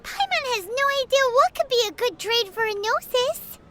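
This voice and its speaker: A woman voicing a tiny, childlike, excitable fairy companion with a squeaky voice in a video game. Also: moderate pace, background noise. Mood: curious, puzzled. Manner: speaks of herself in third person, exclamatory.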